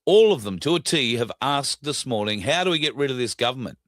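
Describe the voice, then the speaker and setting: An Australian man with a scratchy, hoarse throat, hosting a casual talk show, plain-spoken and indignant, pausing often with fillers. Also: medium pitch, sing-song, a bit bright, no echo, clean studio sound. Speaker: show host.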